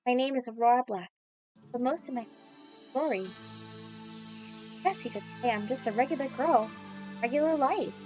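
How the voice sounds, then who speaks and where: A woman's voice: a little high, animated, normal speed, long pauses, a little mellow, soft background music, lightly acted. A woman voicing a young, wishful secret superhero heroine in a visual novel, chatty and dramatic. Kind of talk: visual novel character narration.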